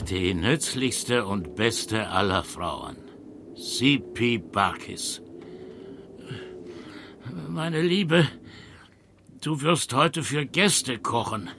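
An actor playing a bedridden, miserly old working man who speaks in halting, laboured phrases in a radio drama. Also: medium pitch, heavily acted. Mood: sly, weary. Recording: noticeable background noise.

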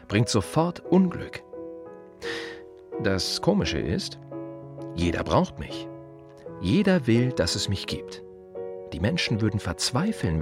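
Deep-voiced, wry gravedigger. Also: male voice, full character voice. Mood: wry, reflective. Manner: halting short phrases, rhetorical questions, dry irony. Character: plain-spoken, wry gravedigger, a working man. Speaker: actor in a radio play monologue. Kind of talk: radio play monologue, confiding and conversational.

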